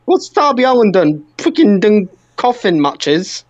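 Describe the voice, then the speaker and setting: A man's voice: high voice, sing-song, measured pace, highs cut off. A man hosting a wrestling podcast, chatting casually and arguing with exclamations.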